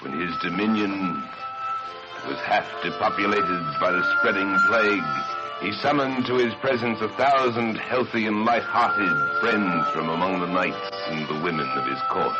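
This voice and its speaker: A deep male storyteller delivers gothic horror in a deliberate, ominous manner. His theatrical phrasing belongs to old-time radio drama. The recording is dull and vintage, with music playing loudly underneath. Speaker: narrator of a horror radio play. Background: loud dramatic music.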